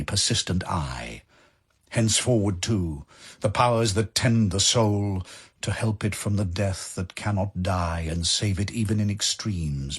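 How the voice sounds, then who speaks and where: A man narrating dramatic verse in a measured, solemn manner with weighty pauses. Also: low-pitched, slightly rough.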